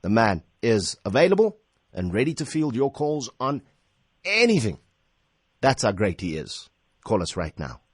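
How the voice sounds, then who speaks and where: South African radio host, dry studio sound. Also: male voice, on the low side, normal speed, husky, no echo. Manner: informal, playful, animated banter with slang. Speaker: radio talk show host. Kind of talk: casual live phone-in radio chat.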